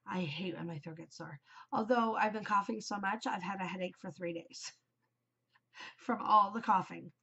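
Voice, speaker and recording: A woman's voice: mid-range voice, moderate pace, gravelly, sharp tone, breathy edge, muffled recording. Congested woman chatting about knitting. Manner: rambling, many ums, chatty. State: congested, voice shot from a cold. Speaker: knitting podcast host. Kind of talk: casual solo chat.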